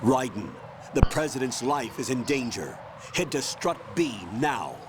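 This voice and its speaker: Stern, measured military commander, noisy audio. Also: male voice, medium pitch, very animated. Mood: urgent, grave. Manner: measured, authoritative, formal. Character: stern middle-aged military commander briefing an agent by radio. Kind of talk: scripted video game voice acting.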